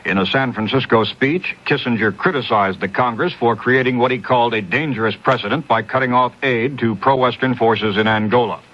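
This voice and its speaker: A male newscaster reads a scripted radio bulletin in 1970s style. His voice sits at a medium pitch. The recording is dull and lo-fi, taken off old AM radio. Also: fairly quick, hardly any pauses.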